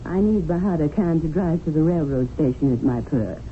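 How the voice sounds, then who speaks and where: A woman's voice: low voice, lively intonation, a little fast. An actress playing a genteel, tender-hearted, headstrong lady of the Raj in an old-time radio drama, precise and theatrical. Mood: polite, probing. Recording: tinny old radio broadcast, faint noise.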